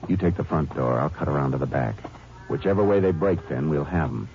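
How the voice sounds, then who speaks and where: An actor playing a terse, commanding Texas Ranger in a 1950s radio western. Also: male voice, very deep voice, fairly quick, slight background noise. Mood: tense, hushed. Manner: terse, authoritative. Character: steady, commanding Texas Ranger lawman hero. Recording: muffled 1950s radio recording, music behind.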